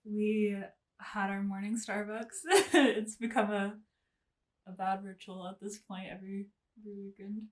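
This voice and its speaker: A woman's voice: medium pitch, steady tone, measured pace. Young woman vlogger, casual chat, breezy delivery, frequent little laughs. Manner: chatty, laughs often, trailing off.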